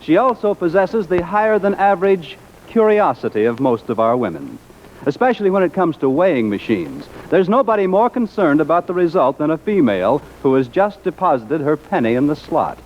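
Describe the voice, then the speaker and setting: A male announcer from 1940s radio with a polished showman's delivery. His voice is rather high and swings expressively. The recording is muffled, with faint music. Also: very animated, brisk, slight background noise. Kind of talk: 1940s radio show introduction and sponsor read.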